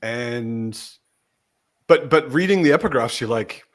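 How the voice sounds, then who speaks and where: Man, medium pitch, book discussion panelist, casual chat, voices imagined reactions. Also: animated, measured pace.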